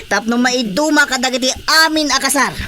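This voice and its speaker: A woman's voice: sits high, brisk, close to an everyday voice. A woman playing an exuberant, party-loving wedding celebrant in a radio comedy, emphatic and repetitive, with an Ilocano accent. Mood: festive. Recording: clear crowd sounds behind.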